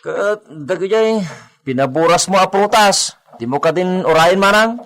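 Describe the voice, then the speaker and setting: A man's voice: sits high, expressive, unhurried, fairly bright tone, exaggerated voice. A man playing a worried, cautious village henchman in a radio drama, reluctant but obedient. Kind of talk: Ilocano radio drama acting.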